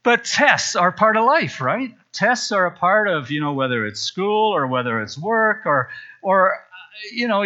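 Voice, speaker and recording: A man's voice: a little high, sharp tone, lo-fi sound. A man from Zambia preaching a sermon in a church, chatty and storytelling, with frequent asides. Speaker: pastor. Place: church sanctuary.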